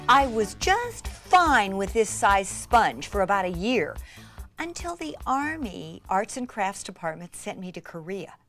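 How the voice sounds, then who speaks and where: Excitable woman with swooping, lively pitch. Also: a little slow, soft background music. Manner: excitable, gushing, breathy exclamations and gasps. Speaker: craft instructor demonstrating techniques. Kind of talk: enthusiastic hands-on craft demonstration.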